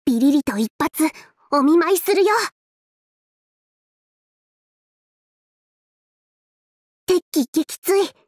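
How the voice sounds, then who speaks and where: A woman's voice: high-pitched, lively intonation, moderate pace, a little mellow, no room sound, studio quality. A woman voicing a shy, self-effacing young android fighter in an anime game, hesitant and earnest, with a tendency to stammer. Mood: mock heroic, determined. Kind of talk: Japanese anime game voice lines.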